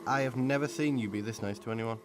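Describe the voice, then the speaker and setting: A man performs a laid-back male spirit of summer at an average pitch. He is cheeky and casually sarcastic. Clear noise and buzzing insects sit behind this amateur radio play voice. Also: quick pace, natural voice. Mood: teasing.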